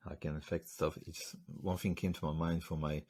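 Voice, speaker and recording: A man's voice: rumbling low, little pitch movement, fairly quick, darkish tone, slightly rough. A man from Slovakia, hosting a podcast and telling a story in loose, rambling casual talk full of fillers. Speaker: podcast host. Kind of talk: casual interview chat.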